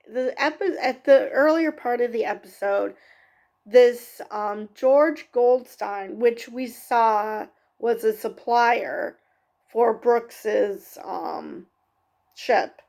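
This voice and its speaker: A woman talks through a TV episode in a slow, unhurried recap, often pausing on ums and fixing her own sentences. Her voice sits a little high and has a gravelly, fry-edged texture. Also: a little mellow. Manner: halting, many ums and self-corrections. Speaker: TV review vlogger. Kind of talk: casual unscripted episode recap.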